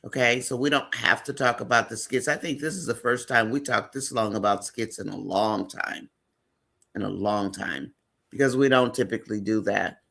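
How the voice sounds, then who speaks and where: A man's voice: lowish voice, normal speed, gravelly, sharp tone. A man hosting a live stream, chatting casually and rambling with frequent fillers.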